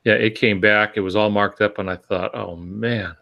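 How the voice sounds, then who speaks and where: Older man with a lowish voice, chatting casually. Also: steady tone, normal speed, creaky, lo-fi sound. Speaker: podcast co-host and writer. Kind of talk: casual reminiscing and advice.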